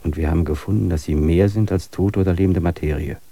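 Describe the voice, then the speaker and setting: A man's voice: low-pitched, steady tone, quick pace, mellow, husky, dry recording. A man in a classic radio play speaking earnestly, musing on dreams and reality in a philosophical tone. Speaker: main character and reflective narrator.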